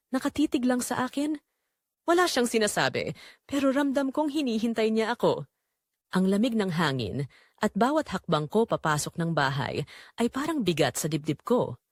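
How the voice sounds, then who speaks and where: A woman's voice: medium pitch, very animated, moderate pace, no echo, clean studio sound. A woman narrating a scripted dramatic story in the first person.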